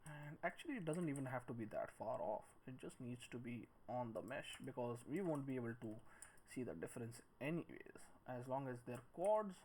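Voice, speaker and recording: A man's voice: medium pitch, lively intonation, a little fast, darkish tone. Man narrating a tutorial over noisy clicks. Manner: talks through each step while working, muttering counts and shortcuts. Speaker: 3D modelling instructor. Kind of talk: screen-recorded software tutorial, casual narration. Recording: clear background noise, keyboard and mouse clicks. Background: noise with typing and clicking.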